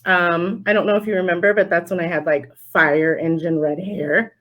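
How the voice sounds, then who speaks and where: A young adult woman speaking as an interview guest, telling stories casually and acting out quoted conversations in an animated way. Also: on the low side, moderate pace, muffled recording. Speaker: podcast guest, store general manager.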